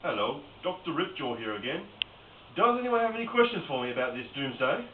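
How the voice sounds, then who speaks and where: A man plays a blunt, offhand mock doomsday doctor with a fairly high voice. The homemade comedy recording is echoey and phone-thin. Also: very animated, brisk. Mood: matter-of-fact. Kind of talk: amateur mock lecture and Q&A. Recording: roomy, distant, tinny, hissy home recording.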